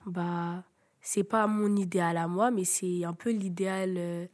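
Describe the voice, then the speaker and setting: A teenage girl, a student, calmly explaining things in a school podcast. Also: lowish voice, a little slow. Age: teens.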